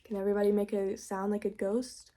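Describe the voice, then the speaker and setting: A woman's voice: mid-range voice, little pitch movement, a little fast, dark tone. A woman reading a storybook aloud to young children in a teacherly way, prompting them with questions.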